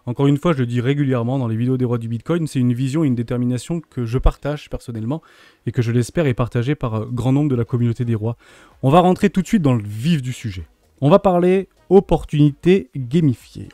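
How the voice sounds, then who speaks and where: A man's voice: medium pitch, dark tone, no room sound. A man hosting a video interview, reading a formal introduction and asking questions with enthusiasm. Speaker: host.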